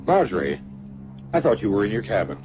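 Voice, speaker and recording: An actor playing a suave, candid escort in an old-time radio drama, smooth-talking, wry and breezy. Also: male voice, fairly low pitch, very animated, a little fast, faint music behind. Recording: muffled, noisy vintage radio recording.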